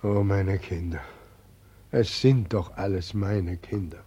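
A man's voice: low-pitched, slow, warm tone, husky, exaggerated voice. An actor playing God as a helpless old man who weeps and laments plaintively, in a dull 1940s radio drama recording. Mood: grieving, helpless. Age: older. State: crying.

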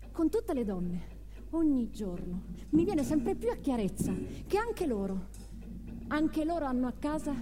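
In a reverberant hall with music behind, an earnest, homely activist mother muses aloud in a radio play. Her high voice halts and circles back over phrases. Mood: earnest, resolute. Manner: pensive, halting, repeating phrases. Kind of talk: theatrical confessional monologue.

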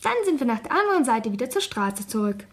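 A woman's voice: fairly high pitch, big pitch swings, fast talker. A female performer playing a sullen twelve-year-old schoolgirl in a German radio crime drama, blunt, pert and evasive. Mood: defiant, cheeky.

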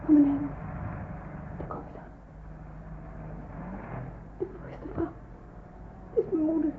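A woman's voice: high-pitched, fairly even intonation, slow. Thin, noisy recording of an anxious young woman. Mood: tense, distraught. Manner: hesitant, hushed, often near whispering. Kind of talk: radio drama acting with hushed inner monologue. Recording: thin phone-like band, noisy old radio play.

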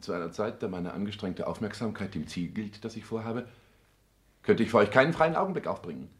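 Actor as brisk, pompous son, roomy sound. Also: male voice, lowish voice, lively intonation, natural voice. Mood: self-important, hurried. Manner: formal, clipped, pompous declamation. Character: cold, ambitious adult son, self-important and precise. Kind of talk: 1960s German radio play acting. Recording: roomy, slightly distant studio sound.

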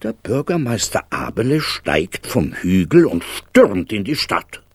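A man's voice: fairly low pitch, big pitch swings, measured pace, darkish tone, husky, no echo, clean studio sound, exaggerated voice. An actor as the male storyteller of a whimsical fable, narrating a radio play in dramatic storybook style. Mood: storytelling.

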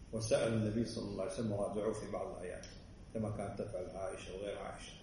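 A man teaches a religious lesson at a brisk clip, his voice mellow and low in brightness. He keeps tossing quick asides to check that listeners follow. He is caught from a distance by the mic in a very roomy, echoing space with faint ambience behind. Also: a little hiss or hum.

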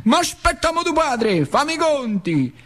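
A man's voice: extremely high pitch, sing-song, moderate pace, fairly bright tone, voice pushed up, heavily acted. A man voicing an exasperated mother scolding her ragged young son in a stage monologue.

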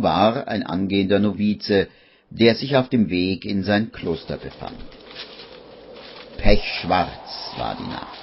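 A man narrating a scripted radio play as a literary storyteller, measured and deliberate. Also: fairly low pitch, slightly rough. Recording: dull vintage radio recording, faint music.

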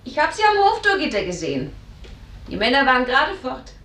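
A high, very lively girlish voice in a roomy echo. She is a pert young daughter in a German radio play. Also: a little fast, full character voice. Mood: evasive. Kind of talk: German radio drama acting.

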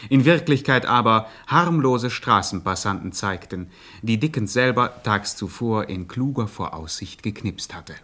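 A man's voice: mid-range voice, fairly bright tone. A man narrating a radio crime satire like a mock documentary, formal and precise.

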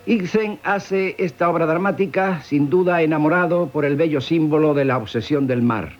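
Highish Castilian male radio presenter, declamatory. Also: normal speed. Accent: Castilian Spanish. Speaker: radio presenter introducing a play. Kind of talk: literary, declamatory scripted introduction. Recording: dull 1970s radio recording.

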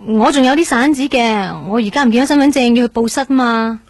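A woman's voice: fairly high pitch, a little fast, no echo, lightly acted. An actress playing an earnest, flustered young woman in a radio drama, speaking Hong Kong Cantonese in a polite, insistent, talkative way.

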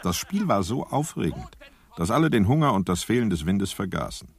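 A man's voice: on the low side, big pitch swings, brisk, gravelly. A man narrating a comic radio play as a grand, ironic storyteller. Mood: wry, grand. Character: mock-heroic male storyteller, grand and ironic.

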